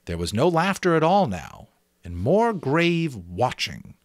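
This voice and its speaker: Male amateur audiobook narrator: medium pitch, lively intonation, dramatic storytelling with offhand asides. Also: takes it slowly, dry recording, studio quality. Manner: expressive storytelling.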